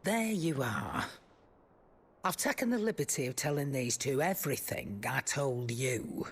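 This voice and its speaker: An actress playing a brisk, shrewd female trader in a fantasy video game, informal and matter-of-fact. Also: very deep voice, expressive, a little hiss or hum, full character voice. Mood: brisk, knowing. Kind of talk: dramatic video game acting.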